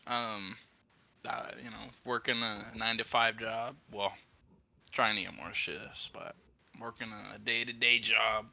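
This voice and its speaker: A man's voice: fairly low pitch, unhurried, vocal fry, dull audio. A young man as an interview guest in a casual chat, joking and laughing often, talking close to a handheld mic. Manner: giggly, joking, lively. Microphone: handheld mic held close.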